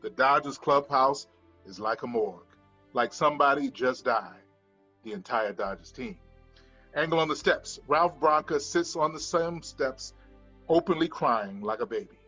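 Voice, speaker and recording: A man's voice: mid-range voice, lively intonation, measured pace, pushed and bright, highs cut off, faint music behind. A man narrating scripted stage directions at a screenplay table read.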